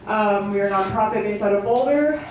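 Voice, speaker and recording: A woman's voice: medium pitch, brisk, a little mellow. Echoey, distant woman hosting a gathering. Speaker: event host, organisation director. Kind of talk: informal welcome speech to a gathering. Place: public meeting in an echoey indoor venue. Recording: very echoey room, distant mic, faint noise.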